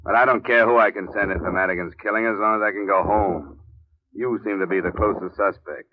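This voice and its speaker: An actor playing a tough, wry, world-weary private investigator in a 1950s radio drama, clipped and dry in manner. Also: male voice, fairly low pitch, fairly even intonation, a little fast, natural voice. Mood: hard, pressing. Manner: clipped, hard-boiled, dry. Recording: tinny, noisy old radio recording with clicks.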